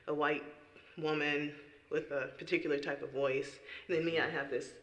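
Earnest woman with a gravelly, bright voice. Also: low voice, steady tone, moderate pace, reverberant. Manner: earnest, emphatic. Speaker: worship singer. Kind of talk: personal testimony in an interview.

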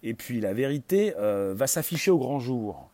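A man hosting a livestream, talking casually to his viewers in an excitable, rambling, self-promoting way. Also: mid-range voice, moderate pace, dry recording. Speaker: livestream host.

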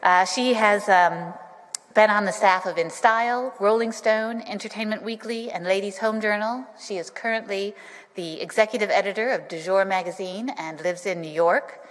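A woman's voice: medium pitch, normal speed. Woman host reading an intro in a hall. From Michigan. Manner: reads prepared intro with hesitant fillers. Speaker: event host. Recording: long hall reverb.

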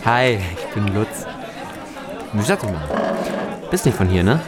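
A chatty, plain-spoken village man in an audio drama. His casual speech is lively in pitch, set amid music and crowd noise. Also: measured pace. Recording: music and crowd in public room.

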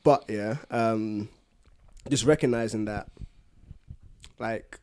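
A man's voice: fairly low pitch, slow pace, a little mellow, clear, resonant tone. A young man talking casually as a co-host in a podcast conversation. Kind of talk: casual chat.

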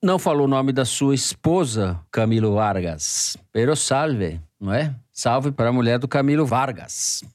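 A man's voice: mid-range voice, animated, moderate pace, hardly any pauses, a little mellow, no echo, studio quality. A man hosting a panel podcast with a Brazilian Portuguese accent, reading listener mail and teasing his co-hosts. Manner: joking, teasing banter. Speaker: podcast host.

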